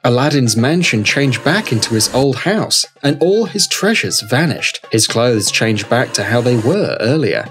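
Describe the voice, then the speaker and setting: Animated male children's story narrator. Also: mid-range voice, measured pace, few pauses. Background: background music.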